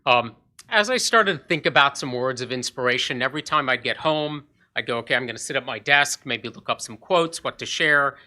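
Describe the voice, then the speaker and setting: A man's voice: mid-range voice, expressive, brisk, bright, forceful tone. A man from Zambia and Zimbabwe giving a short, earnest inspirational talk at a club meeting podium. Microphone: podium microphone.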